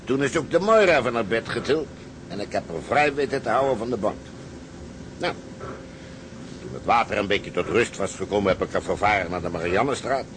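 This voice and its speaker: A man's voice: on the low side, expressive, fairly quick, noisy background, natural voice. An actor playing a hard, boastful, unrepentant seaman in a maritime drama, talking matter-of-factly. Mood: boastful, satisfied. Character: hard, callous male seaman, unrepentant adventurer. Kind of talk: dramatic seafaring acting.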